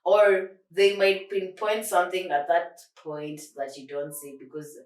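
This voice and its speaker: A young woman chats on a podcast in an animated, rambling way, often breaking into laughter. Her voice sits a little low. The sound is roomy and set back from the mic. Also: measured pace. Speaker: podcast co-host. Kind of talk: casual chat. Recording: echoey room, far from mic.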